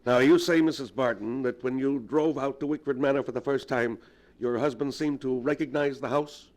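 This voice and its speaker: An actor playing a grave, learned doctor of the supernatural, telling dark history in a measured, ominous 1940s radio manner. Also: male voice, medium pitch, fairly even intonation, natural voice. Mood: grave, explaining.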